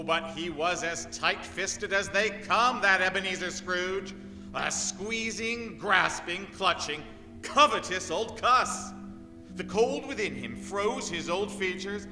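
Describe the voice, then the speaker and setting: A man doing old-time radio announcing and narration with theatrical, emphatic phrasing. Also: high voice. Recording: echoey live hall, music behind.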